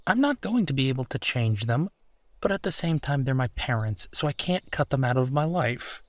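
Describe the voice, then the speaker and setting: A man's voice: medium pitch, lively intonation, dry recording, close to an everyday voice. Reassuring, affectionate husband, played by a man. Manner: reassuring, conversational, unhurried pauses. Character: supportive, affectionate husband comforting his partner. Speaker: audio roleplay performer. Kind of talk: intimate comfort roleplay, one side of a conversation.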